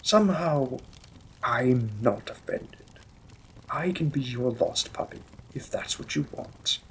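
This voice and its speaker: A man's voice: mid-range voice, lively intonation, slight background noise, low-quality recording. A man voicing a devoted demon king in a romantic fantasy roleplay, speaking intimately and formally with teasing, possessive affection. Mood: fond, amused. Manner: slow, intimate, formal wording, gently amused.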